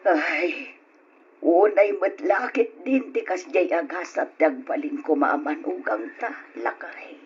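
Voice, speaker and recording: A woman's voice: lowish voice, slow, slightly rough, heavily acted. A woman playing a consoling, sorrowful middle-aged mother in an old radio drama, with an Ilocano Filipino accent. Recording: dull radio recording under loud music.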